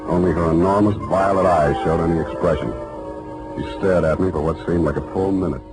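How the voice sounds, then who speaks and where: An actor playing a wry, hard-boiled American private detective in a 1940s radio drama, with deadpan, wisecracking delivery. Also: male voice, low-pitched, a little fast, lightly acted. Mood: narrating. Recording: vintage radio recording, music behind.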